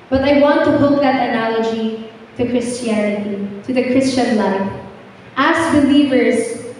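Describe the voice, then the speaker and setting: Mellow-voiced woman exhorting the church. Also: fairly high pitch, a little hiss or hum. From Philippines. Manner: earnest, measured, encouraging. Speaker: camp counselor giving a testimony. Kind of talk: prepared church testimony with exhortation. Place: large church hall. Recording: echoey hall, mic from far off.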